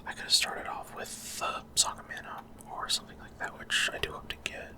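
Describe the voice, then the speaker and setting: A man giving whispered ASMR gameplay commentary in a casual, rambling, slightly unsure way. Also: brisk. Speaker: gaming ASMR video host.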